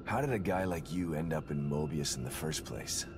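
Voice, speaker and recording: A man playing a gruff, weary, determined game protagonist, speaking tersely and dryly in a low voice. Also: normal speed, over music. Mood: weary, wry. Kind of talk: video game cutscene acting.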